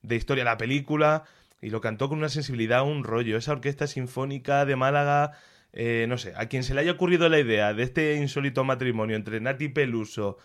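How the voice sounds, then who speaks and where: A man with a Castilian Spanish accent chats as a culture commentator. His voice sits at a middle pitch. He is colloquial and expressive, giving his opinions freely. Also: a little fast. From Castilla-La Mancha, Spain. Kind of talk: casual conversational review.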